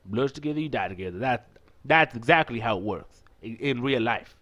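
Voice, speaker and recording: A man's voice: mid-range voice, expressive, a little fast, a bit bright, highs cut off. A man hosting a visual novel playthrough, reading aloud and breaking into casual, jokey, sweary asides. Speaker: let's play host.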